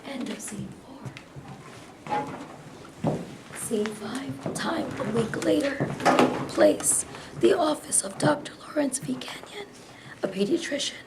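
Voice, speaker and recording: A woman's voice: high-pitched, expressive, slow pace, pauses often, in a whisper. A woman giving scripted narration of scene headings and stage directions at a staged reading. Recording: echoey room, distant mic, noisy background.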